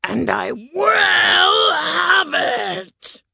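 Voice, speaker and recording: Woman acting a slow, growly, indignant lion. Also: very high voice, sing-song, creaky, pushed and bright, dry recording, raised voice, pitched above the performer's own voice, exaggerated voice. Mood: angry, demanding. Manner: slow, drawn-out, emphatic delivery. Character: cowardly lion, male beast, indignant and demanding. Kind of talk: storybook character voice.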